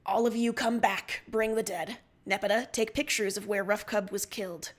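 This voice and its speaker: A woman plays a commanding pirate captain in a storytime reading. The voice is urgent and intense, shaken by betrayal, moving between hushed alarm and clipped orders. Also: mid-range voice, steady tone, normal speed, lightly acted.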